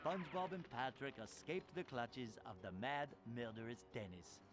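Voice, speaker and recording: A man's voice: mid-range voice, lively intonation, normal speed, close to an everyday voice. A man playing a grandiose, theatrical narrator, delivering melodramatic storybook narration for a cartoon game in a pompous manner. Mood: dramatic. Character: grandiose, theatrical male storyteller, fussily dramatic. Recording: music behind the voice.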